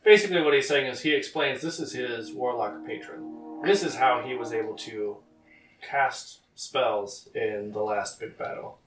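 A man narrating as game master for a tabletop game podcast, relaxed and joking. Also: medium pitch, unhurried, echoey room, distant mic, soft background music, poor audio.

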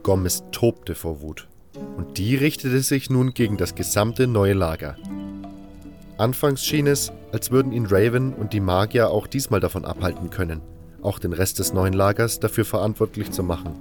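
Casting: male audiobook narrator, lower register, scripted first-person fantasy storytelling over soft music. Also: moderate pace.

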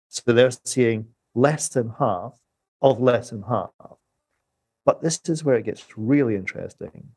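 Guy with a lowish voice breaking stuff down step by step, emphatic, really dry recording. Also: a little mellow, slightly rough, dry recording. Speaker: economist guest. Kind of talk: explanatory podcast commentary.

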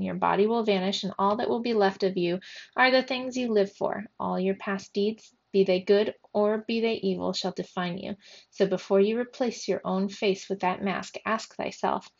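Female reader as storybook Death, solemn fiend, pompous, archaic, slow, creaky, dulled audio. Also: mid-range voice, highs cut off. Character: Death, a solemn grandiose fiend played by a boy.

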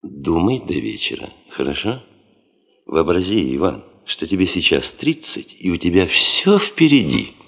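A man's voice: deep voice, big pitch swings, unhurried, creaky, a bit bright, full character voice. An actor in a Soviet radio play as a driven, stubborn male geologist chief, terse, insistent and commanding. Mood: pressing, resolute.

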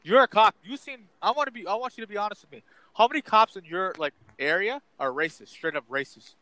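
A young man leads a casual debate and presses the others with pointed questions. His voice is bright and forceful and sits a little high. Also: expressive, fast talker, dry recording, poor audio.

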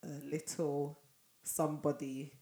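A woman co-hosting a casual podcast, her voice hoarse and froggy as she loses it, laughing often. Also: low-pitched, flat delivery, a little slow, mellow, echoey room, slight background noise. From Nigeria. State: losing her voice. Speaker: podcast co-host. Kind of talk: casual chat.

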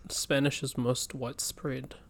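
A man's voice: medium pitch, flat delivery, a little slow, vocal fry, a bit bright. A man speaking as a podcast co-host in a casual, chatty style. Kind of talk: casual chat.

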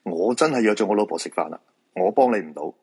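A reluctant fortune-teller in a radio drama, acted by a man, brusque and exasperated. His voice is low and quite husky, with a Hong Kong Cantonese accent and a dismissive, protesting manner. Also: lively intonation, fast, darkish tone. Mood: exasperated refusal. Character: reluctant male feng shui fortune-teller, married father, exasperated.

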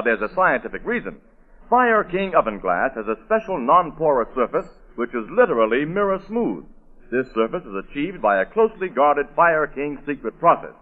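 An American man announcing on 1940s radio, reading scripted copy in a polished, emphatic manner. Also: on the high side. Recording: tinny old radio broadcast, faint music.

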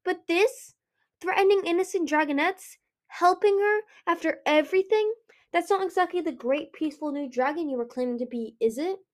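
A high, heated voice for a young dragon queen in a fantasy storybook, acted out by a woman reader. She is defiant and furious, forcing her words out hard. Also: normal speed, lightly acted. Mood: shouting accusations. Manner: shouting, choked, spitting out words.

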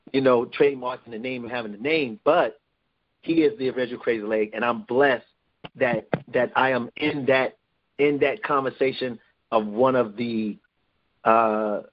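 Rambling New man, muffled line. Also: medium pitch. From New York. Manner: rambling with many restarts. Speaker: guest. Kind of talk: casual interview talk.

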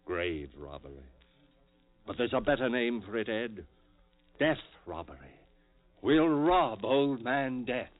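An actor playing an obsessed surgeon, fervent and insistent in old-time radio horror style. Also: male voice, mid-range voice, lively intonation, a little slow, long pauses, soft background music, lightly acted. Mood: fervent pleading. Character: obsessed surgeon driven to revive his dead wife, intense and persuasive. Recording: tinny old radio recording.

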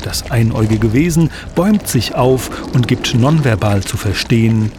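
Man, narrator, scripted audio drama storytelling, medium pitch, clearly noisy sound bed. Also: animated, normal speed.

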